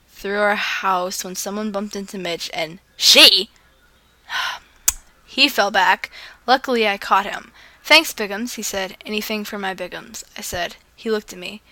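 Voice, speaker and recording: A woman's voice: medium pitch, bright, forceful tone, no room sound. A woman reading fan fiction aloud as a video host, playfully acting out the dialogue.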